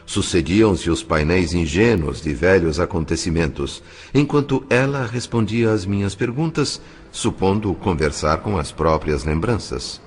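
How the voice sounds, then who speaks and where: A male actor as a fatherly spirit narrator with a deep voice and Brazilian accent, solemn and compassionate. He tells an audio novel over faint music. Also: animated, a little slow, few pauses. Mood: solemn, tender.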